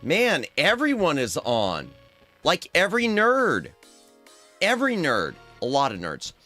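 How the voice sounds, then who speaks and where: A man's voice: on the high side, huge pitch swings, unhurried, pushed and bright, dry recording, faint music behind. A man livestreaming, tired and straining his throat, chatting casually in a playful, rambling way and humming to himself. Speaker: streamer.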